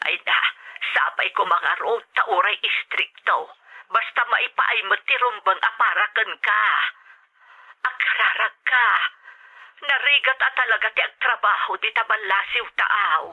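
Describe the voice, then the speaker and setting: A woman's voice: on the high side, unhurried, sharp tone, dull audio, exaggerated voice. A woman with a Filipino accent playing a worried, loving older mother in a radio drama, plaintive and fretful. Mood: concerned, tender.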